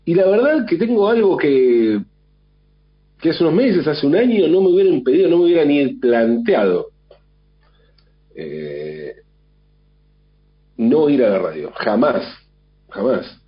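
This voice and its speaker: A man's voice: a little high, very animated, measured pace, long pauses. Man with a mild flu, lo-fi. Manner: thoughtful, rambling, with many restarts. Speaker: radio host. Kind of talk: reflective monologue. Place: at home. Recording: dull lo-fi sound.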